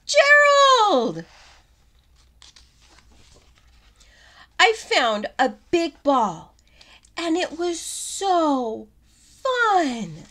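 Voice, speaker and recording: A woman voicing a small, excitable young pig from a storybook, easily upset and given to dramatic wailing. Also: very high-pitched, very slow, voice pushed up, heavily acted. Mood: excited. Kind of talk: storybook character voice.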